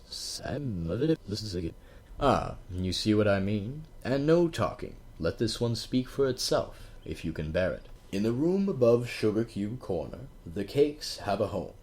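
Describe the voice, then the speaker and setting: A man's voice: on the low side, darkish tone. A man reading an audiobook aloud, narrating with wry, chatty asides to the listener as if guiding them on a tour. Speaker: audiobook reader.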